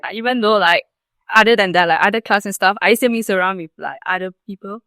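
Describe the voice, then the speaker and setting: A young woman chats casually as a podcast guest. Her phrasing is a little halting and full of "like", her pitch average, and the sound is dry. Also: pushed and bright, no room sound. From Malaysia. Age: young adult. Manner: halting, frequent 'like'. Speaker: podcast guest, international student. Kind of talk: casual conversation.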